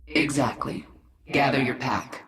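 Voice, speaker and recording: A woman playing a vengeful swarm queen and commander in a video game, terse, deliberate and commanding. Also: rumbling low. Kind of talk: video game character acting. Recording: echoing voice under loud music.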